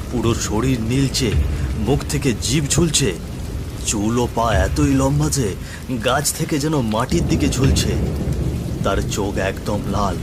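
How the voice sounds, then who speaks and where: A man's voice: mid-range voice, very noisy. A man narrating a scripted horror cartoon story dramatically, with music, rain and thunder behind him.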